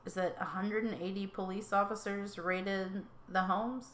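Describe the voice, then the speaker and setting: A young woman co-hosting a casual true-crime podcast, reading out facts haltingly with many ums. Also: mid-range voice, steady tone, a bit bright, echoey room. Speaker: podcast co-host.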